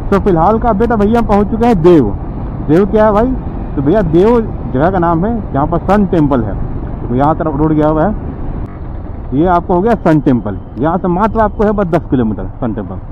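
Guy vlogging from his bike, kinda high voice, traffic noise all around. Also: expressive, a little fast, highs cut off. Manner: chatty, addresses viewers as bhaiya. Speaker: motovlogger. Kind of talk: casual road-trip commentary. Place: outdoors on a highway, riding a motorbike. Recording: noisy road and traffic outdoors.

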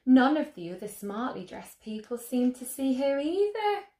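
A young woman reading a picture book aloud to children as a storyteller, expressively, with gentle questions to her listeners. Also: high-pitched, big pitch swings, moderate pace. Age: young adult. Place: small room at home, indoors. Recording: roomy indoor echo.

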